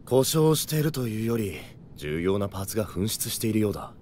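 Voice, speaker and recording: A man's voice: fairly low pitch, very animated, a little fast, darkish tone, a little hiss or hum. A man playing a cool, serious, analytical space cadet who talks bluntly and logically in a voice drama. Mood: matter-of-fact. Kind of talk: Japanese voice drama acting.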